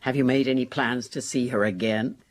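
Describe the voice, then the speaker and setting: Cold, domineering older matriarch. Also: female voice, rumbling low, steady tone, a little fast. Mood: stern, controlling. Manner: curt, commanding, interrogating. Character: domineering, cold older mother controlling her grown children. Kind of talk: radio drama acting.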